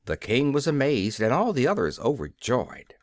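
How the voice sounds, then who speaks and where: A man narrates a storybook as an audiobook reader, telling it expressively. His medium-pitched voice moves with lively intonation in a dry, close recording. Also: moderate pace, no room sound.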